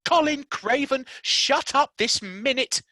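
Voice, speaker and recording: A storyteller voicing a proud, quick-tempered young girl with a high, clipped, indignant voice. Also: wildly swooping pitch, bright, forceful tone, slightly rough, no room sound, clean studio sound, heavily acted. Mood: bossy. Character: haughty, sharp-tempered young girl, Mary.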